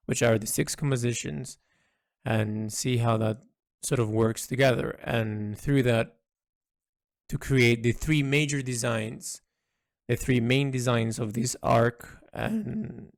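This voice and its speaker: Slow-talking man recording a reflective audio log. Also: medium pitch, creaky, no echo, studio quality. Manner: hesitant, halting, thoughtful phrasing. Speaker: artist narrating a design log.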